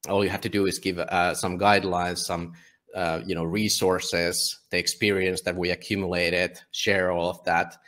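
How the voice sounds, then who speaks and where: Low-voiced Finnish man, blunt podcast guest. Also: little pitch movement, normal speed, a bit bright. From Finland. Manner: blunt, casual swearing. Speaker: podcast guest, volunteer book publisher. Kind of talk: casual interview talk.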